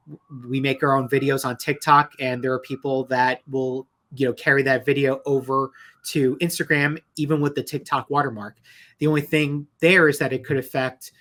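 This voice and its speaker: A man's voice: medium pitch, fairly bright tone. Conversational man explaining legal points. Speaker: lawyer, interview guest.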